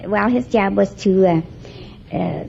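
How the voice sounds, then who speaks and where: A woman telling her story in a halting, plain-spoken, folksy way as a radio quiz contestant. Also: mid-range voice, vocal fry, a little mellow. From Iowa, USA. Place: radio studio before a live audience. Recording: muffled old 1950s radio, audience, noise.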